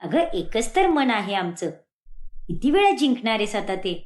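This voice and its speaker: A woman voicing a kindly older mother-in-law in a cartoon moral story, affectionate and gently chiding. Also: a little high, sing-song, moderate pace.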